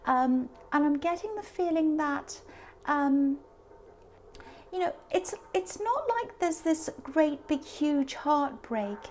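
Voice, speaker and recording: A woman with a hoarse, sore voice giving a casual tarot reading, chatty and meandering as she speaks straight to the viewer. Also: high-pitched, lively intonation, slow, a little mellow, a little hiss or hum. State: hoarse, sore voice from talking a lot. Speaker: tarot reader.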